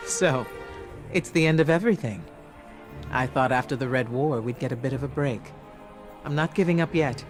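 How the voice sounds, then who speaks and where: A male actor plays a steady leader in a science fiction game. He is resolute and matter-of-fact at a medium pitch. Faint music sits behind his voice. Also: moderate pace, long pauses, close to an everyday voice. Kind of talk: scripted game cutscene acting.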